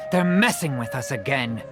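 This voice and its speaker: Low, feisty, defiant townswoman. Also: lively intonation, a little slow. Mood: annoyed. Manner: blunt, defiant. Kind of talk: video game voice acting. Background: music.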